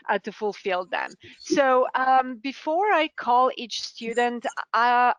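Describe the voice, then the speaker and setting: A middle-aged woman from Serbia with a Serbian accent, formally presenting an award ceremony as a debate teacher in a courteous, deliberate way. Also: on the high side, fairly bright tone, smooth, dry recording, low-quality recording.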